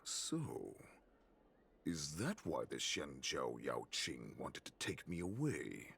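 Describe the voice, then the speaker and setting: Very deep, gravelly wolfish warlord. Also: male voice, huge pitch swings, a bit bright, heavily acted. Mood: brooding, mocking. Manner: slow, menacing, theatrical, savoring each threat. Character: centuries-old wolfish warlord captive, menacing, sadistic predator. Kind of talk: dramatic video game voice acting. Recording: game music behind the voice.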